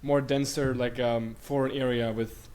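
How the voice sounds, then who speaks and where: A man's voice: mid-range voice, flat delivery, a little slow, a little mellow, smooth. A young man from Bulgaria who grew up in Sweden, talking as a guest in a casual interview, rambling with many fillers and restarts. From Bulgaria, raised in Sweden.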